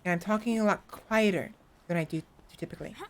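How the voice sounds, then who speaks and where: A woman streamer chatting casually in a soft, hesitant voice with a gravelly vocal fry, talking close to her microphone. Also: fairly low pitch, fast. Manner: soft, hesitant, self-reflective, many restarts. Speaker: VTuber streamer. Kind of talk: casual chat while livestreaming a game. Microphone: close desk mic.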